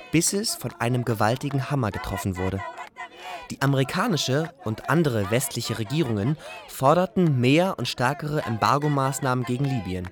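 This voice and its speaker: Medium-pitched young refugee narrator played by a man. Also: natural voice. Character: young Iraqi refugee man, fearful of bringing ruin. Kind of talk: scripted first-person radio play narration.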